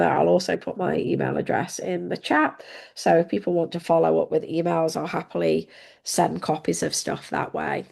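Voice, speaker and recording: A woman's voice: lowish voice, gravelly, a little mellow. A woman from England with an English accent, an unwell guest lecturer answering questions politely on a video call. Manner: polite and appreciative. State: unwell, under the weather. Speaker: guest speaker, history lecturer.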